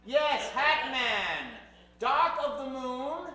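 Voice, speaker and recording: A man's voice: extremely high pitch, big pitch swings, extremely slow, sharp tone. A man hosting a live improvised radio show on a theater stage, showy and mock-formal in an old-time radio manner, joking with the crowd. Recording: echoey theater stage recording.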